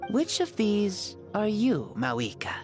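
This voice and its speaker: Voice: mid-range voice, over music. An actor voicing an ancient male warrior hero stuck in a boy's body, grandiose and chatty in a video game. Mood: solemn grandiose. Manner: grandiose, lively, turns solemn and slow in incantations.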